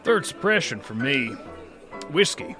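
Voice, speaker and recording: A haunted veteran gunslinger narrator, audio drama; slow, gruff, sardonic, music and crowd bed. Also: male voice, on the high side, very animated. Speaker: narrator and lead.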